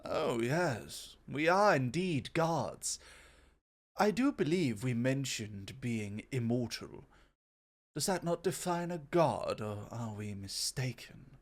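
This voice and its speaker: Guy doing proud god of invention, really slow, mid pitch, fancy formal wording, bit teasing, asmr rp. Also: sing-song. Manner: formal, ornate, gently teasing. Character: courtly, proud immortal god of wondrous innovation. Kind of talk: ASMR roleplay acting.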